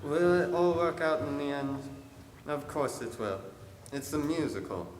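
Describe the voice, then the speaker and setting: An actor playing a wistful, wry musical-theatre fan who confides in the audience as a conversational stage narrator. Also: male voice, fairly high pitch, expressive, a little slow, a little hiss or hum. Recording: echoey hall, faint music behind.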